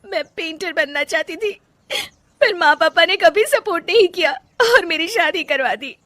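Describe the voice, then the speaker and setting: A woman's voice: extremely high pitch, lively intonation, normal speed, bright, forceful tone, no echo, voice pushed up, exaggerated voice. A woman voicing an unhappy young daughter-in-law in a cartoon story, plaintive and curt with frustration. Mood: tearful, distraught. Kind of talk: Hindi cartoon moral story acting.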